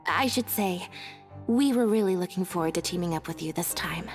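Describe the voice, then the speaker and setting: A woman voicing a calm, reserved young magician's assistant in a video game, with an understated, matter-of-fact manner. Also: on the low side, a little fast, natural voice. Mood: calm, gently teasing. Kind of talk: video game character acting. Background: game music.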